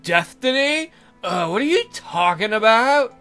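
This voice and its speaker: A man's voice: high voice, very animated, a little slow, pushed and bright, soft background music, voice pushed up, full character voice. A man voicing an annoyed, airheaded young fairy-tale maiden in a visual novel, with a hesitant valley-girl drawl full of filler words.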